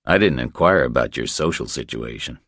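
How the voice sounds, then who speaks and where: A man voicing a tough, unflappable thriller hero in an audiobook, laconic and calmly confident. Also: a bass voice, a little fast, dry recording, studio quality, voice pushed down, full character voice. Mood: calm threat.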